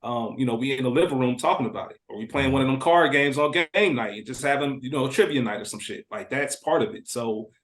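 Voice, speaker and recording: A man's voice: medium pitch, fast, few pauses, fairly bright tone, low-quality recording. A man in his thirties chatting casually as a podcaster, slangy and profane, posing questions as he thinks aloud. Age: 30s. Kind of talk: casual chat.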